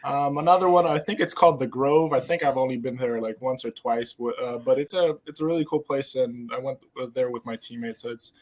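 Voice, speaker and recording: A man in his twenties from Serbia answering casually as an interview guest, with hesitant, halting phrasing. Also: medium pitch, steady tone. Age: 20s. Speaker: basketball player guest.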